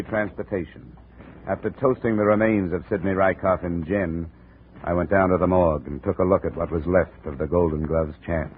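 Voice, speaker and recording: An actor playing a wry, hard-boiled investigator in a 1950s radio drama, dry, clipped and sardonic. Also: male voice, deep voice, close to an everyday voice. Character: wry, hard-boiled insurance investigator narrating his cases. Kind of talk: 1950s radio detective narration and acting. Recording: narrow, noisy old radio recording.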